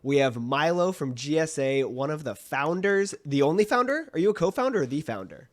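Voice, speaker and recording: A man's voice: a little high, lively intonation, fairly quick. A man hosting a podcast in a casual, enthusiastic and informal way. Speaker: podcast host.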